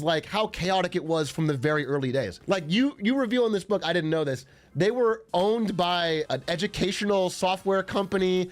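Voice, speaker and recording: A man hosting a casual live-stream interview, speaking conversationally and rephrasing his questions as he goes. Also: fairly high pitch. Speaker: streamer host interviewing a guest.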